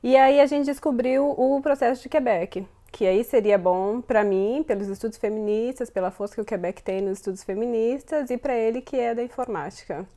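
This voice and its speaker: Brief: Brazilian Portuguese woman, 30s, interview guest, thoughtful, fairly high voice. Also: a little fast, few pauses, dark tone. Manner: thoughtful, frequent fillers and self-repeats. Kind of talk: casual interview answers.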